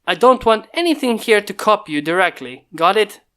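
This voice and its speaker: A terse inventor barks dry orders at his mechanical guards and mutters wry little asides under his breath, as a reader puts him on. He sounds brooding and self-possessed, quick to command and slow to warm. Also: mid-range voice, animated, echoey room, natural voice.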